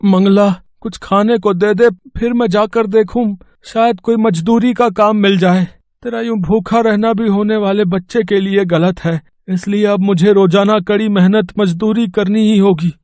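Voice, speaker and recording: A man's voice: high voice, steady tone, moderate pace, few pauses, a little mellow, no echo, clean studio sound, natural voice. A man playing a poor, anxious village father in a cartoon story, earnest and pleading. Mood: worried. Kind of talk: Hindi cartoon story voice acting.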